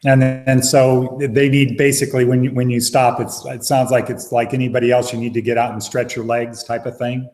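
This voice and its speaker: A man's voice: mid-range voice, level tone, brisk, hardly any pauses, darkish tone. Man asking questions on a call. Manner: friendly, leading questions with short prompts. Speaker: interview host. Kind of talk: casual interview.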